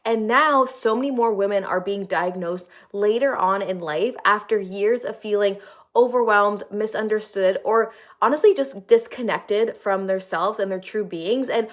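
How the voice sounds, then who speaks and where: A woman's voice: mid-range voice, moderate pace. A woman hosting a podcast, opening the episode and asking questions in a casual, conversational way, often using "like" as a filler. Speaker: podcast host.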